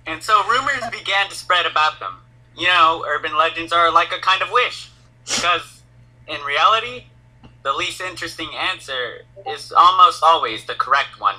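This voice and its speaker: A solemn anime narrator, voiced by a man, with a crisp, forceful tone a little high in pitch. The delivery is scripted and serious. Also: animated, moderate pace, exaggerated voice, poor audio. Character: solemn narrator of urban legends and an unfair world.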